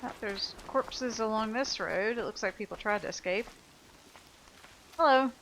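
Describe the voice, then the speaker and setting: A woman's voice: fairly high pitch, unhurried, fairly bright tone, a little hiss or hum, poor audio. A woman giving casual, off-the-cuff commentary as she plays a video game. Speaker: gaming video host. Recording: game music behind the voice. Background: game music.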